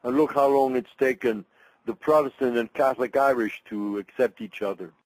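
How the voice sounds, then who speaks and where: An older man from Belgium, a migration researcher, answering interview questions in a thoughtful, halting way with many restarts. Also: medium pitch, no echo, rough recording.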